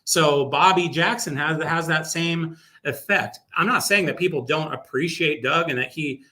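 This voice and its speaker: Male sports announcer as interview guest, bright forward voice, casual, emphatic storyteller. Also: a little high, normal speed.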